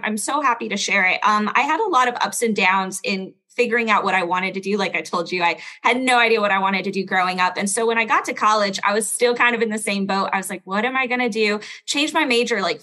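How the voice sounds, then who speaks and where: A woman's voice: medium pitch, pushed and bright. A young woman born in Belgium with a standard American accent, telling her story as a guest in a casual interview, chatty, with lots of "like". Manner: chatty, lots of "like" and quick laughs.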